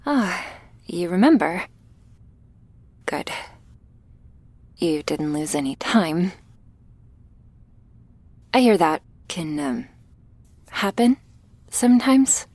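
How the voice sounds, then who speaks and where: A woman performs a shy young female vampire in an ASMR roleplay, anxious and apologetic. Her voice is on the low side and crackles with fry. She talks very slowly and hesitantly, softly and intimately, as if to one listener. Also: very animated, no echo, full character voice. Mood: awkward, hesitant. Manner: hesitant, halting, soft-spoken with whispery moments and nervous little laughs.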